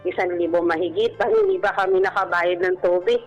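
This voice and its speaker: A woman's voice: fairly low pitch, very animated, a little fast, highs cut off. A Filipino woman politely telling a personal story as a caller on a radio show. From Philippines. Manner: polite, deferential, using respectful particles. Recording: radio broadcast with music underneath. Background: background music.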